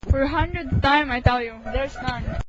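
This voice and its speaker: A woman's voice: high voice, normal speed, lightly acted. A young woman playing a brusque, evasive hospital doctor in a student radio drama. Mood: businesslike. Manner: brusque, dismissive. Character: curt, evasive, irritable hospital eye doctor. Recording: muffled amateur recording, faint noise.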